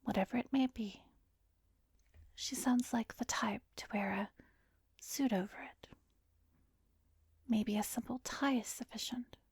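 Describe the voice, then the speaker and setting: A woman playing a calm, coaxing female therapist in a whispered ASMR hypnosis roleplay, soothing and measured, with a soft breathy voice close to the microphone. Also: fairly high pitch, dry recording, exaggerated voice. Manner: slow, soothing, measured guiding with pauses. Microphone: close microphone, dry recording.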